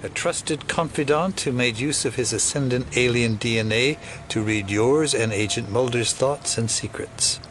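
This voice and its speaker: A man playing a sinister older conspirator in an audio drama, talking in a cryptic, condescending, deliberate way. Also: mid-range voice, a little hiss or hum. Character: sinister, knowing older male conspirator, revived as a copy. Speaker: actor playing a shadowy villain.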